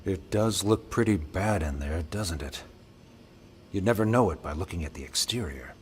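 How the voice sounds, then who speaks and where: A stern male commander in a video game cutscene. His voice is fairly low and authoritative, formal in manner, with faint background noise. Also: animated, moderate pace, darkish tone. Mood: wary, concerned.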